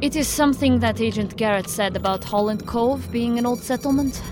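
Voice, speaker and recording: An actress playing a serious, focused federal agent in an audio drama, earnest and businesslike. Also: female voice, on the high side, a little fast, over music, natural voice. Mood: thoughtful.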